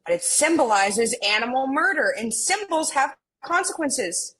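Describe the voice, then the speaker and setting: A woman's voice: high voice, big pitch swings, unhurried, fairly bright tone, breathy edge, heavily acted, low-quality recording. A woman playing an earnest, socially conscious, awkward millennial twin in a comedy table read, with casual phrasing.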